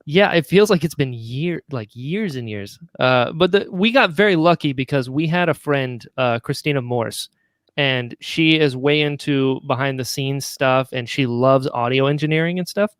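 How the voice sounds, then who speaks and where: A man's voice: medium pitch, normal speed, no room sound, clean studio sound. A man chatting casually as a podcast guest, joking and self-deprecating. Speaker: podcaster and audio drama writer, guest. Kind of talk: casual chat.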